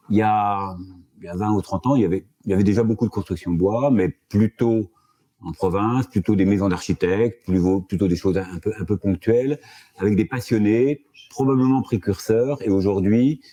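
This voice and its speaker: A man speaking as a company director on a panel, measured and explanatory in a businesslike way. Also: low voice, dark tone. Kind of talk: panel discussion. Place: office.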